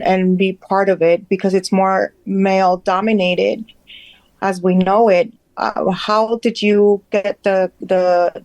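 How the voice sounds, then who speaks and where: Woman in her 30s from Peru, slow and even, halting, dry close sound. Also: medium pitch, no echo. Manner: slow, halting, searching for words. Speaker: audience member asking a question.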